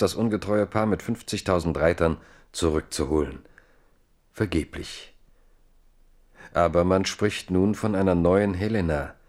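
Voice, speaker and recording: Give a historical radio play its chronicler, with a very deep voice. He narrates gravely and deliberately, in literary phrases that he sets out with care. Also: a little mellow. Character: grave male chronicler of a king's fate.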